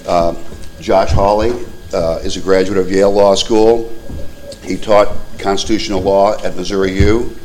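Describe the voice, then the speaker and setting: A man with a very low voice moderates a forum and reads out candidate bios in a plain, halting manner, pausing on frequent uhs. Faint background noise sits under his speech. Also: level tone, a little mellow, rough recording. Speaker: debate moderator. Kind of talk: reading candidate introductions.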